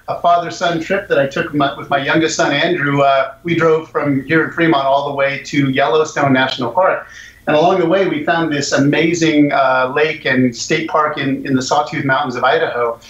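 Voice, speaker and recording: A man guest, a jazz singer, chatting easily and telling stories about his songs, chuckling at himself now and then. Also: fairly high pitch. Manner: relaxed, storytelling, chuckles at himself. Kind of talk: casual interview chat. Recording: echoey, muffled lo-fi line.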